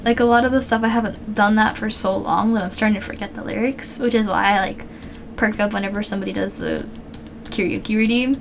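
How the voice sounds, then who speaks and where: Female streamer, casual rambling chat, low-key tired delivery, voice a bit worn, faint typing behind. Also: fairly even intonation, fairly quick, background noise. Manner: rambling, chatty, many fillers and restarts. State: low-energy, throat a little worn. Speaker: live streamer and artist. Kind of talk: casual live-stream chat while drawing.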